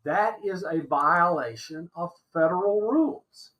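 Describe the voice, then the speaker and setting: Higher-pitched, exasperated older American man. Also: measured pace, a bit bright. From Alabama, United States. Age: 60s. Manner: exasperated, emphatic, repeats himself for emphasis. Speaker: podcast guest. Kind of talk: impassioned interview commentary.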